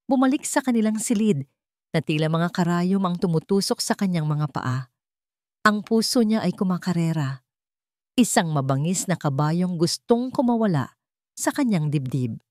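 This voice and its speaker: A woman's voice: lowish voice, darkish tone, no echo, clean studio sound. A woman narrator reading a scripted dramatic story.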